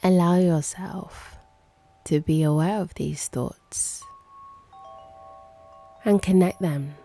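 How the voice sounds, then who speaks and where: Slow, low-voiced woman guiding meditation. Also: steady tone, dark tone, soft background music. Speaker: host and meditation guide. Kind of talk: guided meditation, slow and hushed.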